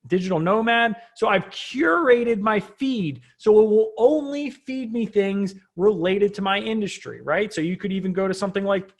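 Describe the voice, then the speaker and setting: A man's voice: high voice, expressive, normal speed, few pauses. A man presenting a casual, unscripted tutorial as a coach, talking conversationally and often checking in with the listener. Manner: conversational, frequently checking in with "right?".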